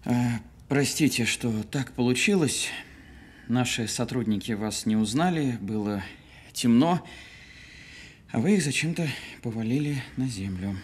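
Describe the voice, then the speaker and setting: A man's voice: medium pitch, animated, measured pace, slight background noise, natural voice. An actor playing an awkward, apologetic male police officer in an audio drama, hesitant and formal. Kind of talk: naturalistic audio drama acting.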